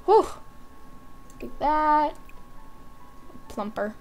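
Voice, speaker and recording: High-voiced woman chatting at an unhurried pace. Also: animated, faint music behind. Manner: thinking aloud in short offhand remarks. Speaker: gaming video host. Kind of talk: casual, chatty game commentary.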